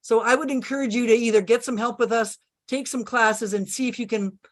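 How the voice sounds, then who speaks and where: A woman's voice: on the high side, fairly even intonation, a little fast. A woman who practices natural health, confidently giving folksy advice on a video call. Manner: confident, folksy, uses sayings.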